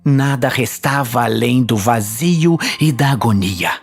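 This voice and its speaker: A man's voice: mid-range voice, unhurried. A man with a Brazilian accent reading scripted fantasy narration in a dramatic storytelling style. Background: faint music.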